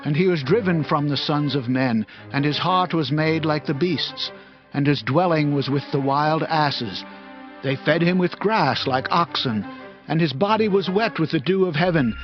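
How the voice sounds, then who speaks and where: A man's voice: fairly high pitch, normal speed, close to an everyday voice. A man playing a grave prophet who rebukes a proud king in a solemn, declamatory way, in a dramatized scripture reading. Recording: dull lo-fi audio with music.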